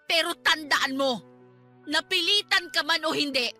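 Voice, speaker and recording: Bright, high-pitched hurt wife. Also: female voice, measured pace, soft background music, exaggerated voice. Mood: hurt, angry. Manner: nagging, emotional, pleading. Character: hurt, jealous young wife confronting her husband. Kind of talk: Tagalog radio drama acting.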